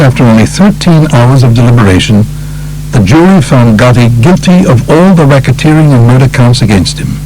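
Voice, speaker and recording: A man's voice: medium pitch, darkish tone, a little hiss or hum, poor audio. A man narrating a true-crime book from a script. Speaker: audiobook narrator.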